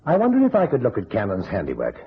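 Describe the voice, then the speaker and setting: Concerned agency boss, very husky, highly animated intonation, businesslike vintage radio acting. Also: male voice, fast, full character voice. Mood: concerned, insistent. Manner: direct, polite but insistent. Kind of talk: golden-age radio detective acting. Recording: muffled, rough old radio recording.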